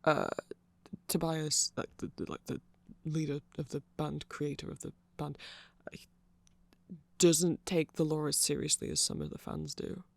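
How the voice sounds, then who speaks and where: A man voicing a nerdy, friendly vampire in a casual ASMR roleplay, soft-spoken and rambling haltingly close to the microphone. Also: on the high side, creaky, no echo. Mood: earnest, rambling. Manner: halting, self-deprecating rambling with small laughs. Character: nerdy, hesitant, friendly male vampire music fan. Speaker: ASMR audio roleplay performer.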